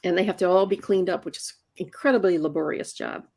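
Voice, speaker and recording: A woman's voice: on the low side, brisk, gravelly, a little mellow. A woman in her sixties, a comics inker, talking casually in an interview and often restarting her words. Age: 60s. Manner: chatty, repeats words when restarting.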